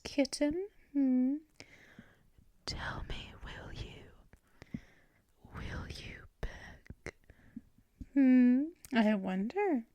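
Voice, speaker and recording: A woman voicing a possessive, teasing female vampire mistress in an ASMR roleplay, speaking in soft, purring whispers. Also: a little high, no echo.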